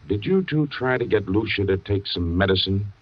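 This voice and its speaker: Casting: an authoritative, probing detective, lively low voice, dull old radio sound. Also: male voice, moderate pace. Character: blunt police investigator grilling suspects. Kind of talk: old-time radio drama acting.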